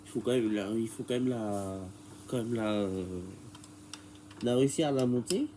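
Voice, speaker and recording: A man's voice: medium pitch, moderate pace, mellow, slight background noise, rough recording. Man with a cold, hesitant and rambling. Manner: hesitant and rambling, many fillers. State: has a head cold. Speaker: bike repair tutorial maker. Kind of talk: casual how-to explanation.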